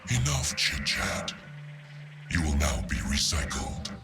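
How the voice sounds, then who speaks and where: A man's voice: low-pitched, big pitch swings, slow, music in the background, exaggerated voice. A man playing a huge evil recycling machine in a video game, speaking in coldly polite announcer phrases. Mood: curt, officious. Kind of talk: video game cutscene acting.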